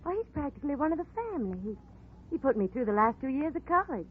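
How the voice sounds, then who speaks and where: Coquettish young horse-farm daughter, higher animated voice, 1940s radio play, tinny sound. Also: female voice, quick pace, a little hiss or hum. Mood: playful. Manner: coquettish, coaxing.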